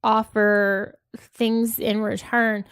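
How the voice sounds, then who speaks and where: A woman in her thirties co-hosting a podcast, soft-spoken and a little nasal, pausing often with ums as she gathers her thoughts. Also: medium pitch, level tone, clean tone, no echo, studio quality. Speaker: podcast co-host. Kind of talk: casual podcast chat.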